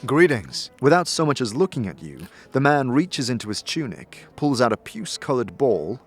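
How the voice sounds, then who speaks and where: A man's voice: mid-range voice, very animated, moderate pace, soft background music. A man narrating a scripted fantasy audio drama in a dramatic storytelling manner. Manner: dramatic, storytelling in second person. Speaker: narrator, game master.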